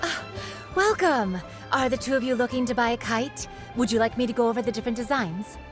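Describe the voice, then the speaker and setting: A woman voicing a friendly young kite vendor giving a cheerful sales pitch. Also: a little fast, music in the background, natural voice. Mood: welcoming. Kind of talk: game voice acting.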